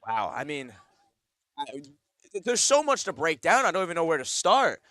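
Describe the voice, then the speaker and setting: A man giving casual, opinionated color commentary at a college soccer match, thinking aloud with fillers and drawn-out phrases. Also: fairly high pitch, wildly swooping pitch, pushed and bright, no room sound.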